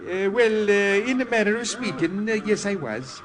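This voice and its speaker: An actor playing a genial, teasing Irish army priest with a brogue and rambling blarney, in old-time radio drama style. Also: male voice, high-pitched, normal speed, crowd noise, natural voice. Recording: noisy vintage radio recording.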